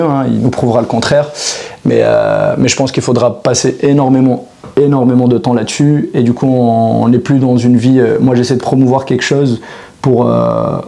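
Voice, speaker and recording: A man's voice: medium pitch, gravelly, warm tone. A man in his thirties, a fitness coach, talking reflectively to camera in a casual monologue, full of hesitations and restarts. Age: 30s.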